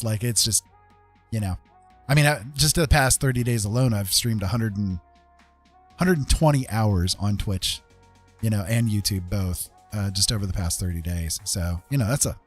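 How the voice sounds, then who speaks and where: A man's voice: on the low side, expressive, normal speed, soft background music. A middle-aged man chatting casually and self-deprecatingly as a livestream host, in a rambling, conversational way. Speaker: livestreamer hosting an art tutorial and chat. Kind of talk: casual chat to a live chat room.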